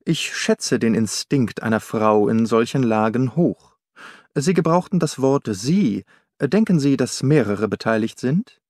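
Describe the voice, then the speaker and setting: A man's voice: mid-range voice, animated, a little slow, darkish tone, no room sound, natural voice. A courteous, self-possessed detective from an audiobook, acted by a man. He probes politely in a mid-range voice with a very dry sound. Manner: polite, probing.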